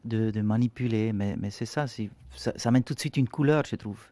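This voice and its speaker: A man's voice: lowish voice, fairly quick, warm tone, no echo. A middle-aged man from Switzerland with a Swiss German accent, a guest in a radio studio, searching for his words in French and restarting often. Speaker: guest singer. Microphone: studio desk microphone.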